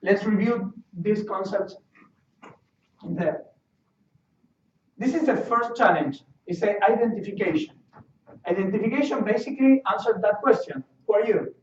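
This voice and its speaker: A fairly high-voiced man with an Argentine accent gives a technical talk, stopping and repeating words as he hunts for them. He sounds far from the mic in a roomy space. The sound is dull and muffled. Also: moderate pace, long pauses, slight background noise. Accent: Argentine Spanish. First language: Spanish. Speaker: guest speaker. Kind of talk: technical presentation. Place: meeting room.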